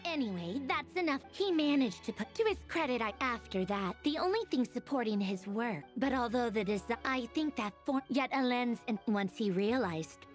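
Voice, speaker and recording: A woman's voice: on the high side, expressive, moderate pace, hardly any pauses, faint music behind, close to an everyday voice. An actress playing a haughty, witty woman inventor in a video game, guarded yet wistful, speaking in a wry, articulate way. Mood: defensive, brisk. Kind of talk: scripted video game story dialogue.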